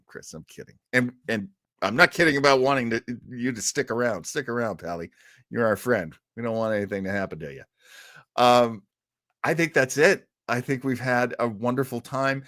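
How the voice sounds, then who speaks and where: A man's voice: medium pitch, a bit bright, no echo, clean studio sound. A man hosting a livestream in a chummy, joking, rambling way as he chats casually with viewers. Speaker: livestream host. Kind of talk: casual live chat with viewers.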